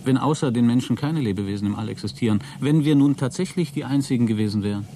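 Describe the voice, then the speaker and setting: A man's voice: mid-range voice, fast, warm tone, a little hiss or hum, close to an everyday voice. A man acting a sceptical, sardonic astronaut in a German radio play, with a dry, matter-of-fact delivery. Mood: doubtful. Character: sceptical, sardonic male astronaut on a lonely spaceflight.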